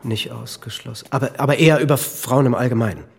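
An actor playing an anxious, worn-out husband with a drinking habit, answering questions in a halting, defensive way in a radio drama. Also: male voice, medium pitch, vocal fry, breathy edge. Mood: halting, weary. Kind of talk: naturalistic radio drama acting.

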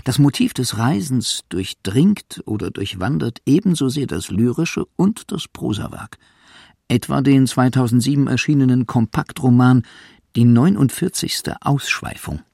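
A man narrating a scripted radio feature in a gravelly, husky voice. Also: medium pitch, lively intonation, a little slow, darkish tone, no echo, clean studio sound.

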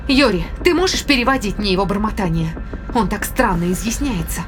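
Woman playing sceptical space crew lady, mid pitch, precise and challenging, music under her. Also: expressive, brisk, lightly acted. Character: sceptical, demanding female spaceship crew member. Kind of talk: audio drama acting.

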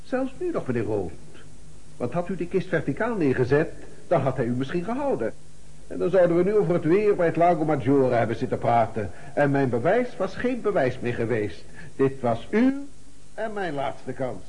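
A shrewd police commissioner in a radio play. His voice sits fairly high and swings widely as he questions with theatrical, insistent precision. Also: sing-song, moderate pace, mellow, low-quality recording. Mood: grave, triumphant. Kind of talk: Dutch radio drama acting.

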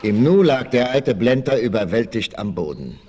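Animated male storyteller. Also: mid-range voice, normal speed, slightly rough. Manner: suspenseful storytelling. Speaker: narrator. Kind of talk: dramatic scripted audio drama narration, 1960s.

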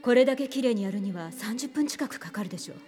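A woman plays a composed, analytical police investigator of senior rank. Her voice sits a little high and carries deliberate authority. It is radio drama acting with clear music behind. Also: animated, warm tone, lightly acted. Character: cool, analytical senior female detective.

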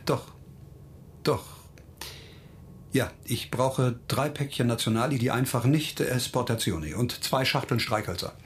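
An actor playing a blunt, hard-bitten police investigator in a radio crime drama, terse, sardonic and used to giving orders. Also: male voice, medium pitch, measured pace, long pauses, slight background noise, natural voice. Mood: gruff, terse.

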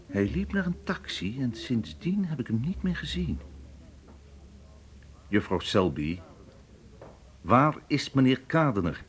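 An actor playing a polite but suspicious, stern Egyptian police inspector in a radio drama, questioning formally and insistently. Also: male voice, medium pitch, big pitch swings, slow, long pauses. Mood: suspicious, probing.

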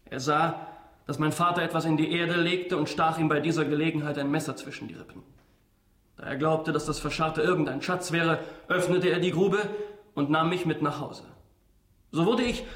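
An actor playing a brazen, self-assured young defendant in a radio drama, speaking with deliberate provocation. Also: male voice, fairly high pitch, a bit bright, close to an everyday voice. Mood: coolly narrating. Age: young adult. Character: brazen young defendant, defiant illegitimate son. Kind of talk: German radio drama acting. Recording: roomy, reverberant courtroom sound.

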